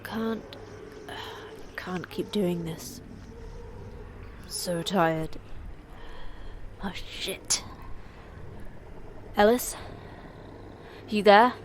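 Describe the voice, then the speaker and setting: A woman's voice: mid-range voice, extremely slow, pauses often, a little hiss or hum. A woman acting in an audio drama as an exhausted, grim survivor, speaking in drained, clipped phrases. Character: exhausted, grim woman calling out amid wreckage.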